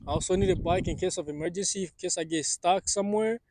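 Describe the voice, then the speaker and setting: A man's voice: sits high, fast talker, a little mellow, slight background noise. A man in his twenties vlogging casually from his parked car, rambling with lots of fillers and restarts. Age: 20s. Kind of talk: casual vlog monologue. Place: parked car in a parking lot.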